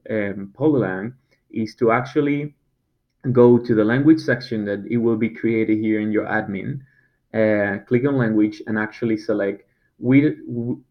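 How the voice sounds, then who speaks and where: A man, a web design company owner, casually walking through a software tutorial with lots of fillers and restarts. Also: fairly low pitch. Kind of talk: screen-recorded software tutorial. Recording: slightly dull, lo-fi sound.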